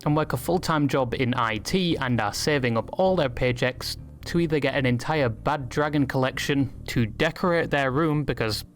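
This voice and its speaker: A man narrates a scripted video essay in a sardonic way. His voice has an ordinary male pitch, neither deep nor high. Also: moderate pace, few pauses, soft background music.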